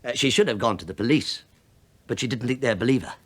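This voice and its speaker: A man's voice: mid-range voice, fast talker, lightly acted. An actor playing a sly, shabby British investigator in a radio play, sardonic and mock-deferential as he probes. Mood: wry, accusing. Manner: sardonic, mock-deferential, probing, with dry understatement. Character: wry, sly, shabby male investigator posing as police inspector. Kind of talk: radio drama acting.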